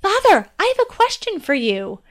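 A woman's voice: very high voice, very animated, measured pace, voice pushed up, exaggerated voice. A woman voicing a clever, cheerful young princess who tells riddles and jokes eagerly. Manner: eager, bright riddling questions.

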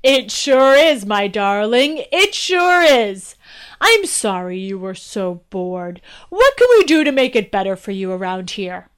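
A woman voicing a weary, sad old king in a storybook who slowly warms to laughter. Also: mid-range voice, sing-song, clear, resonant tone. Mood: tender.

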